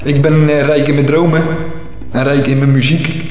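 A man hosting a trippy radio show in a halting, theatrical spoken-word manner. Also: a little high, level tone. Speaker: show host. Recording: long reverb, loud music underneath.

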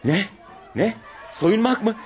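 A man's voice: high-pitched, animated, takes it slowly, a faint crowd, a little hiss or hum. A man playing a romantic but cowardly, easily flustered suitor in a comic radio play, declamatory and stammering. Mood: panicked, cowardly. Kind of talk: comic Turkish radio theatre acting.

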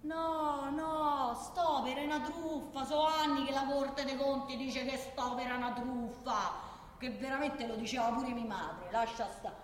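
A woman's voice: high-pitched, steady tone, a little slow. An actress playing a feisty working-class woman with a Roman accent, arguing colloquially and emphatically in a theatrical radio monologue. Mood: exasperated, insistent. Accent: Roman Italian. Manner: colloquial, argumentative, emphatic, with rhetorical questions. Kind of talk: theatrical radio monologue, one side of a conversation. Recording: echoey reverberant hall, faint background noise.

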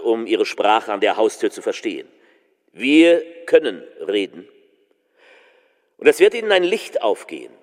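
An actor playing an earnest, insistent political orator in a theatrical radio collage, with emphatic rhetorical pauses. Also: male voice, medium pitch. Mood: agitated, exhorting.

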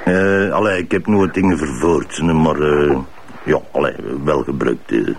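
A man's voice: very deep voice, moderate pace, slight background noise. A man playing a cocky, evasive petty crook being questioned in a radio drama, with a Flemish accent and a brash, slangy manner. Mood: flippant. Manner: brash, slangy, grumbling, flippant. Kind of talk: improvised radio drama interrogation.

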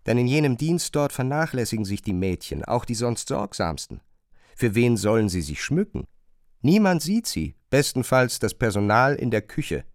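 A man narrating a literary radio play, retelling a chambermaid's grievances in an expressive, emphatic way. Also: mid-range voice, moderate pace, no room sound, studio quality. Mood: engaged, aggrieved.

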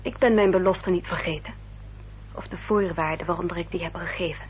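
A woman acting an earnest, dutiful young upper-class lady in a radio drama, speaking formally and with careful, measured diction. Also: on the low side, natural voice. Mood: solemn, composed. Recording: dull, noisy old radio recording with hum.

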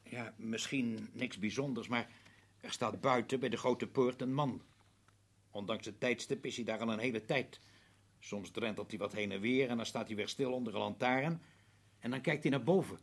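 A man playing an uneasy, deferential veteran prison guard in a Dutch radio drama, hesitant and husky. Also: mid-range voice, normal speed, close to an everyday voice. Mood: dutiful, uneasy. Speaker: actor in a radio play.

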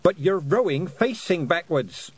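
Man voicing a plucky young girl, raised pitch. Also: lively intonation, measured pace, husky, full character voice. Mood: matter-of-fact. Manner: earnest, emphatic, matter-of-fact. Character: plucky, stubborn young girl, insistent and knowing.

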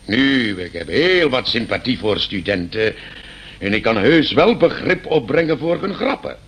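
An actor playing a stern, condescending landlord in a radio play, lecturing with pompous mock courtesy. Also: male voice, medium pitch, big pitch swings, measured pace, a bit bright, breathy edge, full character voice. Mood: stern, indignant. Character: stern, condescending landlord scolding his eccentric tenant.

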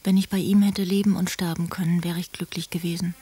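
An actress playing a frail, dying older woman, solemn and wounded, in a hushed radio drama reading of letters. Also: fairly low pitch, level tone, soft background music, close to an everyday voice. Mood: mournful. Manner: hushed, breathy, measured and earnest. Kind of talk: German radio drama, letters read in character.

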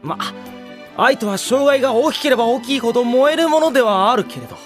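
Smug, lively teenage playboy. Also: male voice, very high voice, brisk, soft background music. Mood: teasing. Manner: suave, teasing, self-satisfied. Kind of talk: Japanese visual novel audio drama acting.

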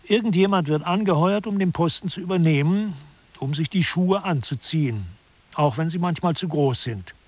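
A man's voice: a little high, normal speed, a little mellow, natural voice. A man playing an articulate lecturer who explains mock-scholarly theory in a scripted satirical radio play. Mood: explanatory. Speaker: narrator.

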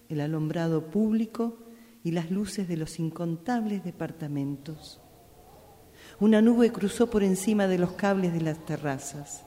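A woman's voice: fairly low pitch, mellow. A woman reading a scripted narration for a radio drama exercise. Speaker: radio drama workshop participant. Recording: reverberant room, faint background noise.

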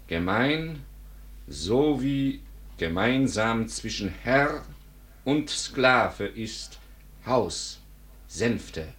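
Wounded, reproachful former friend for classic radio drama; lowish pitch, drawn-out theatrical declamation. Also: male voice. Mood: bitter, measured. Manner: theatrical, solemn, deliberate declamation. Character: embittered dead young man accusing his former friend.

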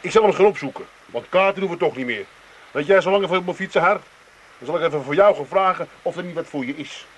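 A man's voice: a little high, fast talker, breathy edge, rough recording. A man playing an eager, coaxing schemer with an Amsterdam accent in a comic radio play.

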